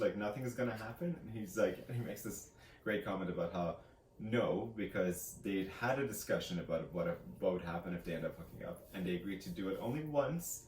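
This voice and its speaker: A man's voice: lowish voice, a little mellow. A man co-hosting a casual book chat, retelling things in a rambling way and often acting out quotes. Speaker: co-host of a book review channel. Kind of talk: casual chatty book discussion. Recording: roomy echo, distant mic, faint hiss.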